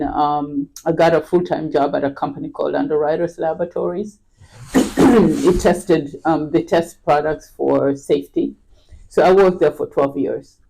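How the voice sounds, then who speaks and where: A middle-aged woman speaks as a podcast guest in a relaxed, unhurried chat. She often pauses with fillers and restarts her sentences as she thinks. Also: sits low, gravelly, a little hiss or hum, poor audio. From Kenya. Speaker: podcast guest, real estate agent. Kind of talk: casual interview chat.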